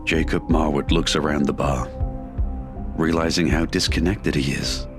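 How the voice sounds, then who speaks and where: A man's voice: very deep voice, steady tone, normal speed, over music. A man reading scripted narration for an audio drama.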